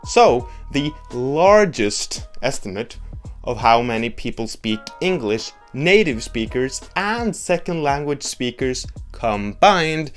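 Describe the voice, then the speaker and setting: Animated Norwegian-accented man, sarcastic. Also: medium pitch, takes it slowly, faint music behind. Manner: sarcastic, blunt and joking. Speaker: YouTube host. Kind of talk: opinion video monologue.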